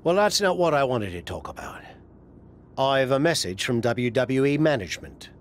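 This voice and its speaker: A man playing a blunt wrestling insider in a video game cutscene, delivering news with dramatic pauses. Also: medium pitch, sing-song, slight background noise, natural voice. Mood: serious.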